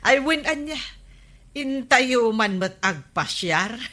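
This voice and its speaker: A woman's voice: a little high, lively intonation, takes it slowly, pushed and bright, heavily acted. A woman playing an exasperated, scolding wife in an Ilocano radio comedy drama. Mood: agreeable.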